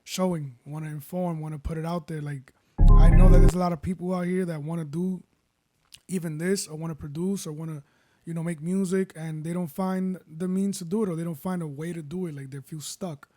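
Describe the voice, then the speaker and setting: A man with a baritone voice, casually walking viewers through making a beat and rambling as he goes. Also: fairly quick. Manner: relaxed, rambling, self-correcting. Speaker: beat producer. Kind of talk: casual tutorial talk. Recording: faint music behind.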